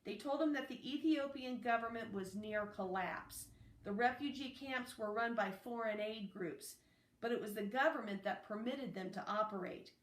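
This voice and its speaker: A woman reading a children's novel aloud as narration, in a deliberate, phrase-by-phrase way. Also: on the high side. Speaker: audiobook reader. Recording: roomy, echoey, distant microphone.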